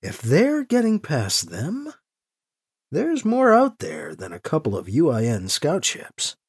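A man's voice: a little high, huge pitch swings, takes it slowly, slightly rough, heavily acted. A man playing a wry, anxious protagonist in an audio story, muttering terse, sardonic thoughts aloud. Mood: wry worry. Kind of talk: audio story character acting.

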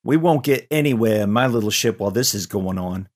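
Low male pilot voice, put on by a male narrator, laconic, wary, folksy, matter-of-fact, audio story. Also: expressive, brisk. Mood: resigned. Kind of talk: audio story character dialogue.

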